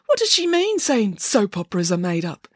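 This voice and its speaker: A woman's voice: medium pitch, huge pitch swings, normal speed, breathy edge, dry recording, studio quality, pitched above the performer's own voice. A woman voicing a simple, tender-hearted, tearful male animal in a children's story, earnest and emotional. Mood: bewildered. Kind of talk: acted children's storybook dialogue.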